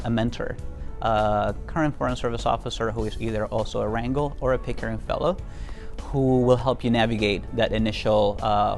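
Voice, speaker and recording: Earnest young man, low gravelly voice. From Venezuela. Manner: earnest, measured, many fillers and restarts. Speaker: Foreign Service officer. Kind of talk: interview testimonial.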